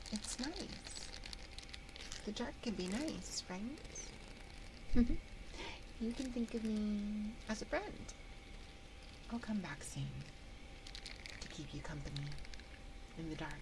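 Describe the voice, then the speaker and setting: A woman voicing a friendly, possessive monster from under the bed in an ASMR roleplay, coaxing softly and often whispering into a close binaural microphone. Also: medium pitch, lively intonation, takes it slowly, pauses often, background noise, pitched above the performer's own voice. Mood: soothing. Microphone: binaural 3Dio microphone, close up.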